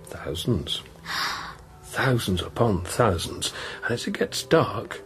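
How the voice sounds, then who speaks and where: A man playing a gentle, probing priest in a radio drama, speaking thoughtfully with careful questions and pauses. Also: on the low side, lively intonation, soft background music, exaggerated voice. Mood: gentle, wondering. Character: gentle, probing priest, an investigator sent from Rome. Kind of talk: naturalistic radio drama acting.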